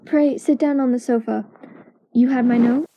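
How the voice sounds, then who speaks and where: A woman's voice: on the high side, steady tone, moderate pace. A woman playing a confident, precise, analytical detective in an amateur audio drama, explaining things in an assured way. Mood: measured, businesslike. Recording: dull lo-fi audio with music.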